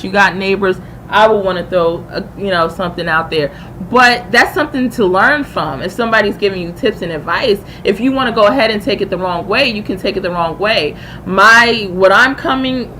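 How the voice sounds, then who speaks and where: A woman's voice: medium pitch, sharp tone, low-quality recording. Female livestream host, mid-range voice, casual and slangy, explains her opinions at length, audible background noise. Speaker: livestream host and YouTube creator. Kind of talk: casual live-stream commentary reacting to a video.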